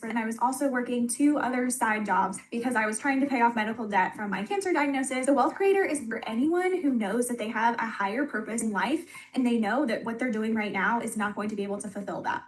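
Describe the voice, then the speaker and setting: A woman gives a rapid, earnest testimonial and urges listeners to act. Her voice sits slightly high. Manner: earnest, urging.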